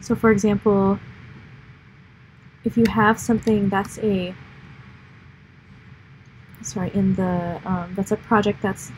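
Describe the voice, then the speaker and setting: A woman's voice: medium pitch, little pitch movement, slow, long pauses, darkish tone, slight background noise, low-quality recording. A young American woman hosting a livestream, explaining things in a rambling, upbeat chat full of fillers. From United States. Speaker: livestream host. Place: indoor office or lab room.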